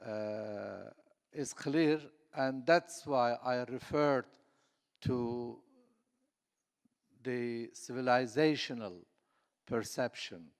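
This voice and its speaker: A man's voice: mid-range voice, drawn-out words, creaky, long pauses. A man from southeast Turkey, speaking on a conference panel with many fillers and restarts. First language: Kurdish. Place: conference hall. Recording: echoey conference hall.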